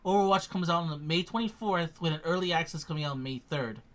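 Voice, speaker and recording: A co-host of a gaming stream talks in a medium-pitched voice. The chat is casual and halting, often repeating words before getting a sentence out. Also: fairly bright tone.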